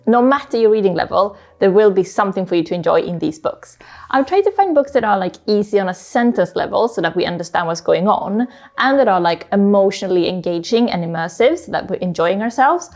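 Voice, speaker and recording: A woman's voice: medium pitch, expressive, a little fast, a little mellow. A woman whose first language is Swedish, chatting casually and informally as she recommends books, often slipping in "like". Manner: chatty, informal, frequent "like" asides. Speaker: book YouTuber, host. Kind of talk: casual book recommendation talk to camera.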